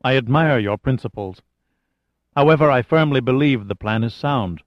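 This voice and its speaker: Man reading a quiet, measured diplomat. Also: medium pitch, no echo, dull audio, natural voice. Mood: measured, diplomatic. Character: calm, compassionate American government envoy, measured and diplomatic.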